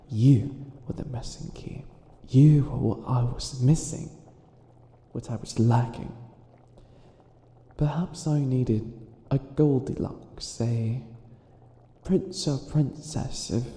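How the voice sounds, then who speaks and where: A man's voice: medium pitch, creaky, dark tone. Man playing a flustered, melodramatic wicked witch, echoey room. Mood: resigned, muttering. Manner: exasperated, stammering, melodramatic villain rambling. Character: theatrical, flustered wicked witch failing at being evil. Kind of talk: comedic roleplay ASMR monologue.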